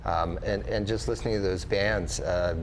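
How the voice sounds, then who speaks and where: A man's voice: low-pitched, steady tone, moderate pace, a little hiss or hum. A man who hosts a radio show, answering questions in casual interview talk.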